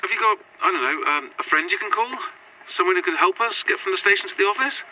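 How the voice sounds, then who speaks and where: A woman's voice: medium pitch, expressive, fast, pushed and bright, distant mic, a little hiss or hum, rough recording. An actress playing a practical, persistent modern woman in an audio drama, speaking in a direct, conversational way. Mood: probing, dry. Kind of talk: found-footage audio drama acting.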